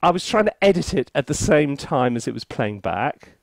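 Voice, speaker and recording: A man chatting casually as he hosts a software review, with an animated, theatrical and self-mocking manner. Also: fairly high pitch, normal speed, creaky, no echo. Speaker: composer and reviewer, video host.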